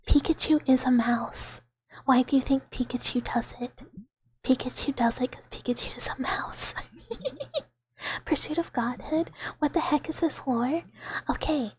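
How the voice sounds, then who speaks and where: A young woman streaming ASMR, whispering softly to her chat with giggles and playful squeaky outbursts, close to the microphone. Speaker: VTuber streamer.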